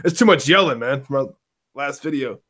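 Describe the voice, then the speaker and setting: A man with a hoarse voice chatting casually about video games, rambling and cursing with many restarts. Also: medium pitch, lively intonation, a little fast, fairly bright tone. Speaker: podcast co-host. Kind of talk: casual gaming chat.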